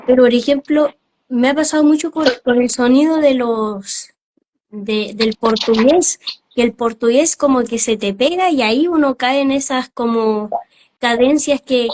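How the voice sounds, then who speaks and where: Somewhat high-voiced woman hosting an interview. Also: unhurried, a little mellow, slight background noise. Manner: curious, asks rambling questions. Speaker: interview host. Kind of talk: casual interview talk.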